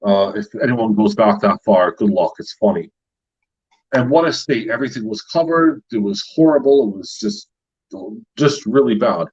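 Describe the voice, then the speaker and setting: Middle-aged American host, husky voice, muffled stream audio, rambling chat. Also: male voice, lowish voice, animated, normal speed, darkish tone. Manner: rambling, with many fillers and laughs. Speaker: YouTube channel host; retired paramedic. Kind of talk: casual live-stream chat.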